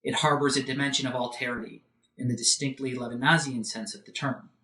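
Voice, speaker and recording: A man giving an academic philosophy lecture in a measured, formal manner with emphatic lists. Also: mid-range voice, little pitch movement, sharp tone. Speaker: professor lecturing. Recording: roomy echo, distant microphone.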